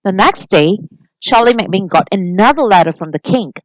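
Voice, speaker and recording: A woman reading a children's story aloud in an expressive, deliberate storytelling manner. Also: mid-range voice, no room sound, poor audio. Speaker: storybook reader.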